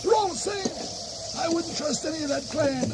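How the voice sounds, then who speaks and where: Casting: chirpy noble sidekick for radio comedy, high voice, flippant, very noisy old recording. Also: male voice, moderate pace. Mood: flippant, jovial. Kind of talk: comic radio drama acting.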